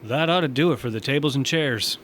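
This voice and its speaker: A man's voice: medium pitch, fairly quick, heavy background noise, natural voice. A man playing a friendly, easygoing bar owner in a sci-fi audio drama, casual and encouraging. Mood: pleased, friendly.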